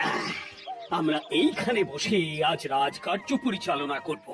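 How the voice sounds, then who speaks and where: A man's voice: on the high side, lively intonation, a little slow, music in the background. A man playing a kindly, authoritative maharaja in a cartoon, with a regal, declamatory manner. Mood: commanding, curious. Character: kindly, authoritative Bengali maharaja who protects animals. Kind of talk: cartoon voice acting.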